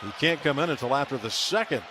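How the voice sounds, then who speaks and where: A man's voice: medium pitch, lively intonation, fast talker, a crowd in the background. A man calling live basketball play-by-play on the radio, emphatically announcing scores and plays. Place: large indoor basketball arena. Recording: noisy arena broadcast. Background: loud arena noise, bouncing basketball.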